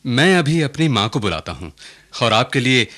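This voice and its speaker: An actor playing a trusting, genial head of a household in a radio drama, effusive and courteous. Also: male voice, medium pitch, very animated, moderate pace, a bit bright. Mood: courteous welcoming. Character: trusting, genial, hospitable family patriarch. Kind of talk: Hindi radio drama acting.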